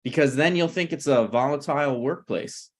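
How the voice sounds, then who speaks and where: A man's voice: mid-range voice, normal speed, close to an everyday voice. A man reading the part of a flippant, needling, self-deprecating screenwriter in a conversational comedy table read. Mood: awkward banter.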